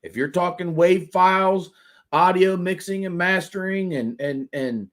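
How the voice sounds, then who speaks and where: A man's voice: high voice, measured pace. Rambling man hosting a stream. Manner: rambling, trailing off, mocking. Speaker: stream host and commentator. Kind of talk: casual commentary stream.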